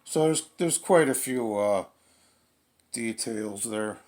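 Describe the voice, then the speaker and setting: A man giving casual, unscripted unboxing commentary as a gadget reviewer, halting and thinking aloud as he goes. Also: medium pitch, darkish tone.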